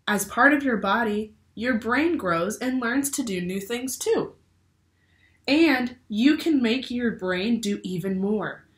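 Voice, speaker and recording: A young woman reading a children's picture book aloud like a teacher, expressively, asking the listeners questions. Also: medium pitch. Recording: roomy indoor echo.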